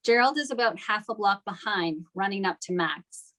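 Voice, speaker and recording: A woman's voice: medium pitch, lively intonation, normal speed, a bit bright. A woman narrating scripted stage directions at a table read of a screenplay.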